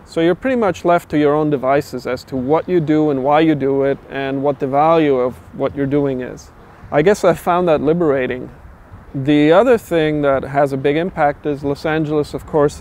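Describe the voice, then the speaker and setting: A middle-aged man from Austria, a guitar builder talking thoughtfully and at a measured pace in a documentary interview, pausing often with "uh". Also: fairly high pitch, a little mellow, slight background noise. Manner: thoughtful, measured, with frequent "uh" pauses. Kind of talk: documentary interview, reflective storytelling.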